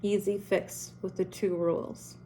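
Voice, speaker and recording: A woman's voice: mid-range voice, flat delivery, measured pace, mellow, a little hiss or hum, rough recording. A middle-aged woman who runs a school, with a strong, carrying voice, giving earnest, direct advice to parents and teachers. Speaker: school principal.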